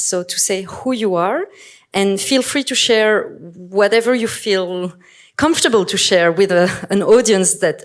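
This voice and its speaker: A woman from Morocco whose first language is French, moderating a conference panel in English on a handheld microphone, talking with many hesitations and self-corrections and laughing often. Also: fairly low pitch. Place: conference hall.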